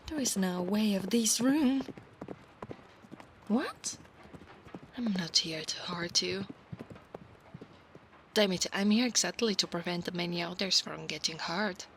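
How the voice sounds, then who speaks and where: Woman playing a slow-spoken, proud centaur knight maiden. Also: mid-range voice, fairly bright tone, a little hiss or hum. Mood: commanding, exasperated. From Italy. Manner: formal, commanding, haughty, archaic phrasing. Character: proud, insistent female centaur knight of a holy order. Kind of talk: ASMR roleplay.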